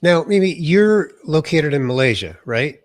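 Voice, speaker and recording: A man's voice: a little high, expressive, a little slow, no room sound. A middle-aged man from the USA hosting an interview podcast, sounding nasal from a cold and introducing his guest with enthusiasm. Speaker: podcast host.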